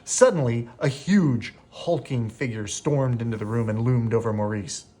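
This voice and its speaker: A man reading a children's bedtime story aloud as an expressive narrator. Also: medium pitch, moderate pace. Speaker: storybook reader.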